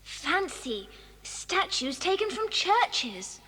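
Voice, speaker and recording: A woman's voice: extremely high pitch, sing-song, extremely slow, bright, forceful tone, heavily acted. A woman playing a sensible, curious, observant child on an adventure, speaking earnestly in a children's audio drama. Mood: earnest, intrigued. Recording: reverberant cassette drama with faint noise.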